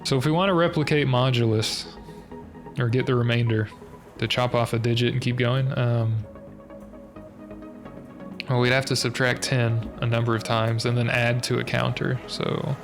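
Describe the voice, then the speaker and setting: Young guy streaming a puzzle game, gravelly and a bit stuffy-nosed, mumbling through logic, game music clearly playing behind. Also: medium pitch, steady tone, normal speed, pauses often. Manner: hesitant, muttering, working through logic step by step. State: stuffy nose, a bit nasal. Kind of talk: thinking aloud while live-streaming a puzzle game.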